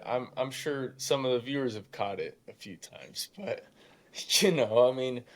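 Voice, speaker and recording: A man's voice: mid-range voice, a little slow. A man of eighteen talking casually and emphatically as a podcast guest, with a youthful voice that can crack and a habit of acting out imagined dialogue. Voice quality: youthful voice that still cracks at times. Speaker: podcast guest, young real estate investor. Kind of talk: casual conversational motivational storytelling.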